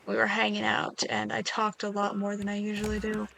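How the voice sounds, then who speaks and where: A woman with a slightly hoarse voice giving casual, chatty commentary while she plays a survival game, making wry asides to herself. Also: mid-range voice, completely flat delivery, fairly quick, bright, forceful tone, a little hiss or hum. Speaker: gaming video creator.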